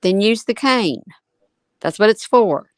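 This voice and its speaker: A woman gives spoken reaction commentary in a critical, chiding manner. Her voice sits at an ordinary pitch, and the recording is dry with hardly any room. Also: expressive, slow, dry recording. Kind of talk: spoken commentary over a clip.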